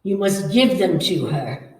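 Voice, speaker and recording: An actress playing a menacing, coaxing female ghost hungry for blood, slow and commanding in an old-time radio horror style. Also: on the low side, expressive, a little mellow. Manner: slow, commanding, taunting, theatrical. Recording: echoey room, far from the mic.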